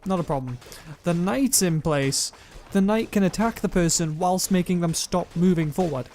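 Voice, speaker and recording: A young man with a self-described monotone voice, rambling through gameplay commentary and viewer comments, often correcting himself mid-sentence. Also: sits high, moderate pace, a little hiss or hum. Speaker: gaming YouTuber.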